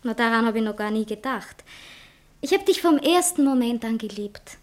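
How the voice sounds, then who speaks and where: Actress as a high-voiced, self-dramatizing young poetess. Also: female voice, lively intonation, moderate pace, lightly acted. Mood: insistent, adoring. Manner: theatrical, self-important, earnest. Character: self-dramatizing young divorced poetess defending herself to her fiancé. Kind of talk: stage comedy acting in a radio play manner.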